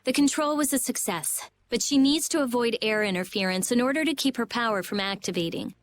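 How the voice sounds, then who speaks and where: A woman as a blunt, high-voiced young researcher. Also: a little fast, a little hiss or hum, natural voice. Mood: grave. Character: blunt, serious young female genius researcher. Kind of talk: video game voice acting.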